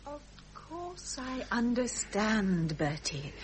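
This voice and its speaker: A woman's voice: fairly high pitch, sing-song, slow pace, a little hiss or hum. An actress playing a sympathetic, devoted British lady, confidante to a prince, in a radio drama. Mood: tender, sympathetic.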